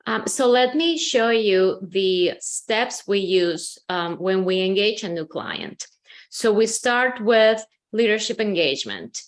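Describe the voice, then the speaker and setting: A woman from Colombia, a company CEO, explaining her consulting approach in a webinar with steady, measured speech and frequent "um"s. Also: medium pitch. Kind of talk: webinar presentation.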